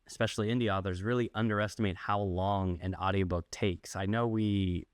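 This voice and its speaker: A man's voice: deep voice, steady tone, fairly quick, clean tone, no echo, clean studio sound. A man hosting a conversational interview, reacting to his guest and putting questions. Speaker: podcast host, interviewer.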